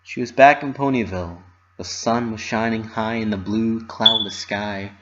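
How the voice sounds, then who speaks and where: A narrator reads a story aloud in a dramatic storybook style. The voice sits at a medium pitch. The home recording sounds a bit dull and lo-fi. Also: fairly even intonation, moderate pace, heavy background noise.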